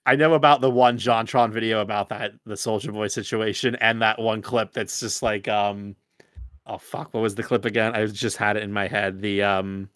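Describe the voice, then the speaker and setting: A man in casual podcast chat, explaining things in a halting, searching way. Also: fairly low pitch, steady tone, a bit bright, no room sound, clean studio sound. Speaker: podcast regular.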